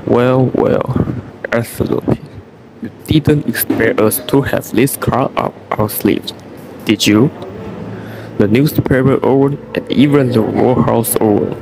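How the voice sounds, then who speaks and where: Man playing a smug, gravelly, slow villain. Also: medium pitch, noisy background. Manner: drawn-out, taunting. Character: smug, gloating male government villain. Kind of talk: amateur radio drama acting.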